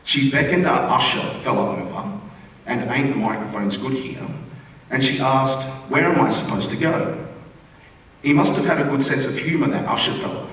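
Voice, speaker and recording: A man's voice: mid-range voice, normal speed, fairly bright tone. An Australian man reading a written humorous postcard aloud to an audience in a wry, conversational way. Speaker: reader at a theatre forum. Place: large indoor theatre forum venue. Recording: echoey hall, distant mic, room noise.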